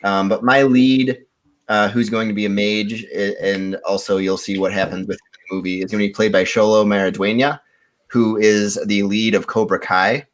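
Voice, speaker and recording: A man with a fairly low voice talks casually as a podcast contestant. He lays out his pitch in an informal, conversational way. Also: fairly even intonation, moderate pace.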